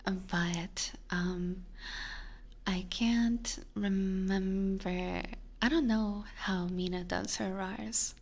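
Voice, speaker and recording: Asmr streamer girl whispering to chat, super breathy n soft, slow, right up on the mic. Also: medium pitch, steady tone. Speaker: livestream host. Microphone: close binaural microphone.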